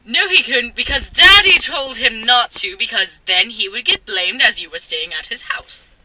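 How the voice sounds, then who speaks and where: A woman's voice: fairly high pitch, animated, normal speed, sharp tone, dry recording, full character voice, rough recording. A woman voicing a spoiled, pompous rich schoolboy who pleads and schemes in a theatrical manner. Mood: smug, persuading.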